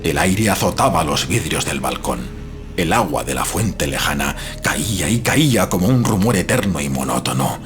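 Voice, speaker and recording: Deep-voiced man narrating horror over music. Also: lively intonation. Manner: solemn, suspenseful, with dramatic pauses. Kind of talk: dramatic horror story narration. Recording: prominent music behind the voice.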